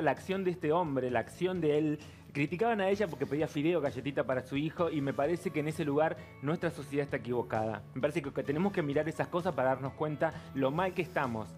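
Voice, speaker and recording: An Argentine man speaks quickly on a TV studio panel, his voice fairly high. He breaks off and restarts his sentences as he makes his point. Faint music plays behind him. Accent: Argentine Spanish. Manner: rapid, restarts often. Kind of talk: TV panel talk. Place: TV studio set.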